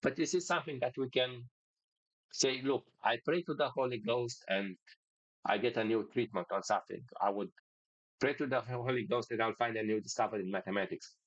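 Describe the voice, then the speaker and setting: A man's voice: medium pitch, steady tone, fairly quick, dry recording, lo-fi sound. A man from Romania with a Romanian accent, hosting a casual monologue in English with many fillers and restarts. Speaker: podcast host.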